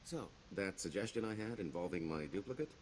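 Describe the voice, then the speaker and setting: A man's voice: deep voice, expressive, brisk, a little mellow, a little hiss or hum. A man playing a roguish middle-aged career criminal in a video game, wry and boastful, with a wheedling, theatrical sarcasm. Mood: scheming.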